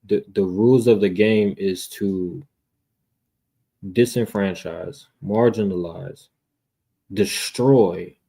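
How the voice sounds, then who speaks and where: An American man debating casually in street slang, confrontational, often repeating words and clearing his throat. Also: low voice, a little mellow. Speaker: debater on a religious livestream.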